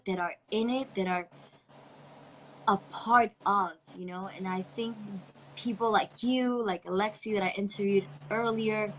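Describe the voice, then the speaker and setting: A woman's voice: medium pitch, a little hiss or hum, low-quality recording. A woman with a Spanish accent hosting a casual online interview, effusive and affectionate, with spiritual wording. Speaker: interview host.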